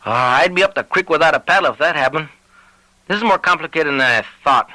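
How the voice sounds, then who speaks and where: A man's voice: on the high side, animated, fairly quick, sharp tone, no echo, pitched above the performer's own voice, poor audio. A man voicing an anxious, blustering landowner in an audiobook, agitated and prone to stammering. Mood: nervous. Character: blustering, anxious male landowner, easily rattled.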